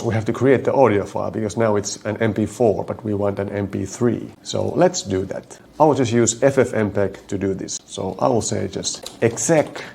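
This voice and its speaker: A man from Finland with a Finnish accent, talking through his code as he types, slowing down to spell out each command. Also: on the low side, creaky, a little mellow, slight background noise. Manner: thinks aloud while typing, slows to spell out code. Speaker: coding tutorial host. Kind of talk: live coding walkthrough.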